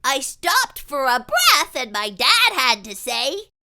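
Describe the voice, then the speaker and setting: A woman voicing an excitable young boy who spins a tall tale in dramatic, sing-song rhyme for a storybook read-aloud. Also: sits high, slow pace, noisy background, full character voice. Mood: eager storytelling.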